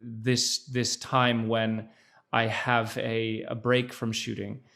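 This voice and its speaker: A man's voice: mid-range voice, completely flat delivery, slow, vocal fry, fairly bright tone. A man who is an actor, a guest chatting casually in an interview over a video call. He speaks thoughtfully, with many fillers and restarts. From Netherlands, by his mother.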